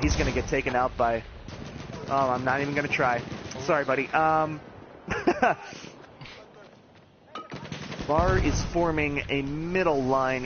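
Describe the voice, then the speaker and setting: A man giving play-by-play commentary on an online match, talking fast with the odd chuckle. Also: mid-range voice, dull audio, noisy background. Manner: rapid, animated play-by-play with chuckles.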